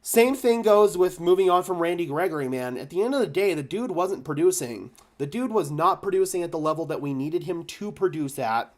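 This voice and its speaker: A young man, slightly hoarse, hosting a live stream about his football team, chatting casually and thanking donors with bursts of excitement. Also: on the high side, brisk, hardly any pauses. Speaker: fan channel host. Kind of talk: live stream chat reacting to donations.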